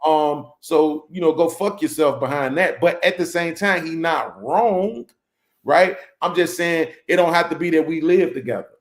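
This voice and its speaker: Brief: man, slightly higher voice, relaxed panel host, loose and rambling, checks in with listeners often. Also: moderate pace. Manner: rambling, keeps checking in with "right?". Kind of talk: casual panel discussion.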